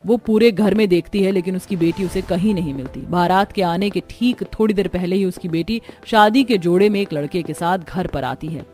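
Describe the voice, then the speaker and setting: A woman's voice: lowish voice, a little fast, hardly any pauses, darkish tone, faint music behind. A woman narrator reading a scripted family story for a cartoon.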